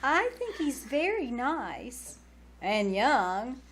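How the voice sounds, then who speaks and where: An actress playing a sweet, kindly young aunt with a genteel manner, in 1940s radio comedy style. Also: female voice, sits high, very animated, drawn-out words, fairly bright tone, a little hiss or hum, full character voice. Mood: amused, reassuring. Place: live on stage in a small theater.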